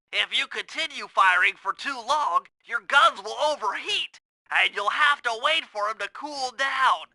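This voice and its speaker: A man's voice: very high voice, pushed and bright, no echo, exaggerated voice. A man voicing a brainy, earnest young cartoon turtle in a video game, explaining things in precise, technical, wordy instructions. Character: nerdy young male cartoon turtle, brainy, earnest gadget-minded strategist. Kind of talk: video game character voice acting.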